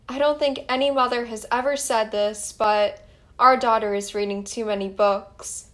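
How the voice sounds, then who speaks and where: Slow-talking, caring mother voiced by a woman. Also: on the high side, fairly even intonation, fairly bright tone. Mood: reassuring. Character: patient, firm, caring mother of two daughters. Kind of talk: amateur doll stop-motion story acting.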